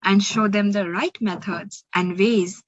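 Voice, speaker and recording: A woman with an Indian accent reading a children's story aloud, expressively and deliberately, with dramatic pauses. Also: medium pitch, steady tone, muffled recording. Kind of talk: children's picture book read-aloud.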